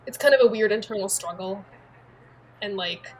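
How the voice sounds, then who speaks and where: Young woman, mid-pitched voice, casual, chatty, faint hiss. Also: moderate pace. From United States. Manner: casual, frequent "like" fillers. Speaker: interviewee. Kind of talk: documentary interview.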